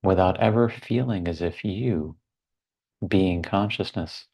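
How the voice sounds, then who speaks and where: Male meditation guide, deep register, extremely unhurried, deliberate short phrases set apart by silence. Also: a little mellow, husky. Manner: measured, deliberate, with long pauses between short phrases.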